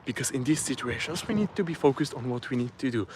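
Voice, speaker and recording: A man's voice: mid-range voice, lively intonation, fast, noisy background. Polish-accented man vlogging live. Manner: searching for words, frequent fillers and restarts. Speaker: self-improvement vlogger. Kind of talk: casual live-stream monologue. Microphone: phone.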